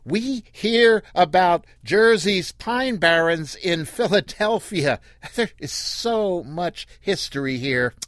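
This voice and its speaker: A man's voice: high voice, lively intonation, no echo, studio quality, voice pushed up. A man doing a prim, over-enunciating city mom. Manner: loud, slow, over-enunciated. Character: prim city mother, loud and slow.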